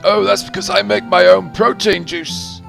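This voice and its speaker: A man's voice: high voice, fairly even intonation, normal speed, creaky, music in the background, pitched above the performer's own voice. A man voicing a perky, chubby young saleswoman in a visual novel, with peppy, emphatic slangy speech. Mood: perky, chatty.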